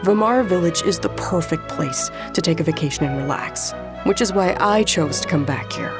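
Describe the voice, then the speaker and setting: A man voicing a relaxed, kind villager in a game, chatty and easygoing. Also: on the high side, normal speed, music in the background, lightly acted. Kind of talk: game voice acting.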